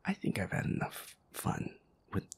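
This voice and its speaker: A man's voice: normal speed, whispered, lightly acted. A man playing a feisty werewolf boyfriend in an audio roleplay, muttering nervous, sweary complaints while playing a horror game. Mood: grumbling, reluctant. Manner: sweary, jittery, muttering complaints under the breath.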